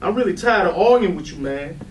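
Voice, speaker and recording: Guy acting wounded defiant son in a stage play, high voice, confrontational and pleading, mic far off. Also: expressive, brisk. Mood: bitter, defiant. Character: hurt, defiant grown son of an angry father. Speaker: stage actor in a readers theatre. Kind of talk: dramatic staged play reading. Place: live readers theatre room. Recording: roomy, distant mic with light noise.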